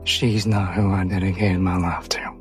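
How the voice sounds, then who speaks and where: A man playing a devoted, stubborn male protector in a tabletop game, speaking in a hushed, earnest way. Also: deep voice, little pitch movement, normal speed, faint music behind, natural voice. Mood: grave, devoted.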